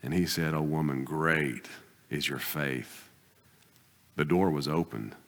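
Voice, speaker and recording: A man preaching a sermon as pastor, pressing his points with rising bursts and then dropping to quiet, deliberate asides. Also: a bass voice. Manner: emphatic preaching, swings between loud exhortation and quiet asides. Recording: long hall reverb.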